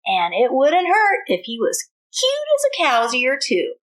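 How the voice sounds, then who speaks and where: A woman's voice: high voice, wildly swooping pitch, a little slow, heavily acted. A woman voicing a determined young lady rancher in a storybook, with a folksy Texas accent. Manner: folksy, decided.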